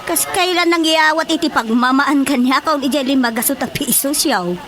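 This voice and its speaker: An actress with an Ilocano accent playing a pestering, gossipy village neighbour in a radio drama comedy. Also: female voice, sits high, moderate pace, a bit bright. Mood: insistent, exasperated.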